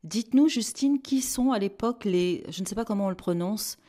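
A woman hosting a radio interview, guiding her guest with composed questions and small pauses. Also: medium pitch, warm tone. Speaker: radio host.

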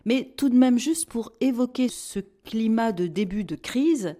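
A woman hosting a radio interview, guiding her guest with composed questions and small pauses. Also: a little high, animated, dark tone. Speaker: radio host.

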